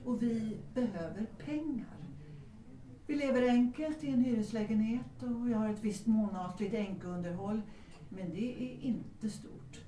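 An actress playing a proud, unsentimental middle-aged widow of good family in a period audio drama, speaking formally. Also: female voice, on the high side, mellow, a little hiss or hum, close to an everyday voice. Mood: matter-of-fact. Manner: formal, dignified, matter-of-fact. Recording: roomy, distant microphone.

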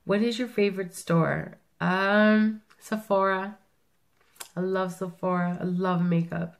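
Gravelly-voiced sick woman chatting. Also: mid-range voice, fairly even intonation, slow pace. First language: Italian. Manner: chatty, rambling, self-correcting. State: sick, rough voice. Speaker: vlogger. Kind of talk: casual tag-video chat. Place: small room indoors.

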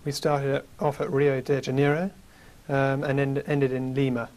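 A man in his thirties with a British accent, a guest in a studio interview, telling about his travels in a measured way with many fillers, on a lapel mic. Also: mid-range voice, little pitch movement, a little mellow. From United Kingdom. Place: small studio with curtain backdrop.

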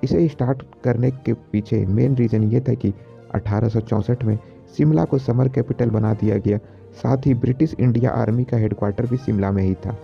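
A man's voice: mid-range voice, moderate pace, vocal fry, highs cut off, soft background music. A young man narrating a travel vlog as its host. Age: young adult. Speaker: travel vlogger.

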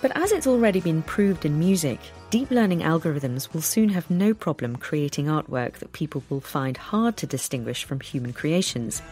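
A woman's voice: sits low, lively intonation, normal speed, hardly any pauses, a little mellow, soft background music. A woman presenting a radio documentary, narrating in a scripted, inquisitive way.

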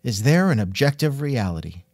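A man's voice: medium pitch, sing-song, normal speed, darkish tone, no room sound, clean studio sound. A man hosting a scripted comedic interview, with a wry, joking manner. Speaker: podcast host and interviewer.

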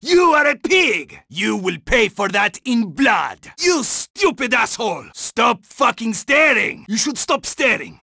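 A video game gunman who thinks himself a king. He is pompous and foul-mouthed, barking contempt in a bright, swooping voice. His delivery is slow and loud, every insult thrown out with arrogance. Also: very high voice, sing-song, creaky, no echo, clean studio sound, exaggerated voice. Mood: contemptuous. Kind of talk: video game combat barks.

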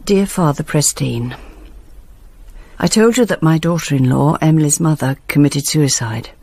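A bitter, grieving grandmother confesses in a radio monologue. Her voice is creaky and husky, recorded close and dry, with deliberate phrasing. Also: low voice, darkish tone, dry recording. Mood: anguished confession. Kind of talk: dramatic monologue in British radio drama.

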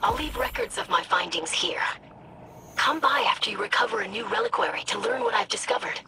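A composed, analytical female researcher from a video game, explains things precisely and in a businesslike way. Her pitch moves animatedly, and there is clear ambient noise behind her. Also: big pitch swings, fairly quick, pushed and bright. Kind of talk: scripted video game dialogue. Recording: noisy background ambience.

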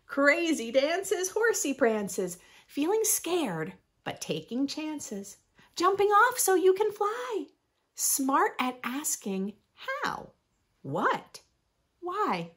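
Animated, slow-paced woman reading to children. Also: high voice. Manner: animated, deliberate phrasing with playful emphasis. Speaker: host of a children's read-aloud channel. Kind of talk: expressive picture-book read-aloud for young children. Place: small furnished room indoors.

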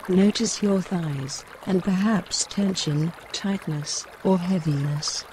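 A woman guiding a mindfulness body scan, giving gentle, measured instructions with pauses between phrases. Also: on the low side, slight background noise, poor audio. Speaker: meditation guide. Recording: running stream water behind voice. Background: trickling woodland stream.

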